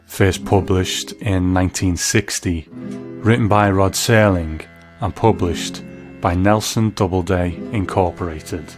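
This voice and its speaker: A man hosting a show, giving a measured, thoughtful scripted review in a reflective narrating style. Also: deep voice. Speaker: host and narrator.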